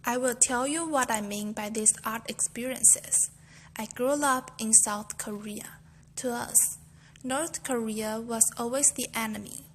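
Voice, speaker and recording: Young woman student reciting a speech, bit high pitched, faint hiss behind. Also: unhurried, fairly bright tone. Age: young adult.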